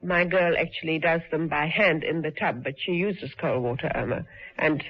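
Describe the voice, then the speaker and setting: A woman speaks in a chatty, hesitant way for a plain testimonial. Her voice is husky. The old radio recording sounds dull. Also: low-pitched, moderate pace. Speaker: customer in an advert.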